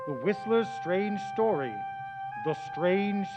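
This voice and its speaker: A man announcing and reading a sponsor pitch in an upbeat manner for an old-time radio show. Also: animated, slow. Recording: dull old-radio sound with loud music.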